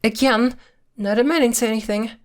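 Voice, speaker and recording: A woman's voice: a little high, steady tone, a little fast, natural voice. A woman playing a possessive girlfriend who is secretly a witch, coy and sweetly menacing, in an ASMR roleplay. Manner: coy, teasing, veiled threats in a sweet tone.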